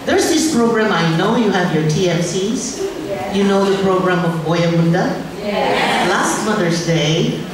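An older woman from the Philippines with a Filipino accent, doing an animated stand-up routine into a handheld mic on a large nightclub stage. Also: mid-range voice, a little slow, background noise. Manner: animated storytelling with put-on accents. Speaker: stand-up comedian. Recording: echoey club from far off.

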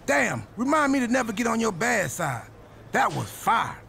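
An actor playing a goofy, excitable male wrestler in a video game cutscene, talking in an animated, playful, jokey way. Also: extremely high pitch, moderate pace, vocal fry, a little hiss or hum, heavily acted.

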